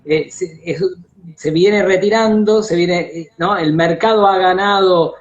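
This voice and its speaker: An Argentine man hosting a casual, reflective live conversation over a video call, talking haltingly with frequent tag questions. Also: high voice. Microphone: live social media video call. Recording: dull lo-fi internet call audio.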